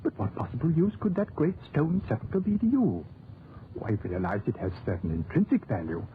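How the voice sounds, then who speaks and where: A man's voice: a little high, huge pitch swings, brisk. Prim clergyman, hesitant and fussy, 1940s radio drama style, thin tinny sound, faint hiss. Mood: disapproving. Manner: hesitant, proper, remonstrating. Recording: tinny, lightly noisy 1940s radio recording.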